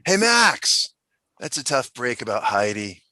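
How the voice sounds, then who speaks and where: A man playing a chummy, over-friendly forty-five-year-old high school principal in a comedy table read. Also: very animated, a little slow, pushed and bright, dry recording, exaggerated voice. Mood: sympathetic.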